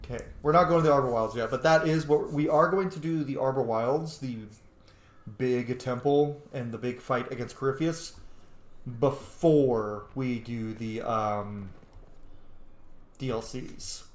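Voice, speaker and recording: A man's voice: medium pitch, measured pace, echoey room. A man hosting a gaming channel, giving informal let's play commentary and thinking aloud with some swearing.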